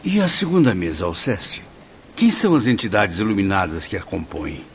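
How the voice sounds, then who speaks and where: A man's voice: fairly low pitch, sing-song, fairly quick, a little hiss or hum. An actor with a Brazilian accent playing an awed, inquisitive, courteous man new to the spirit world in a radio drama. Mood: awed, curious. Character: Camilo, awed, inquisitive deceased newcomer, reflective and courteous.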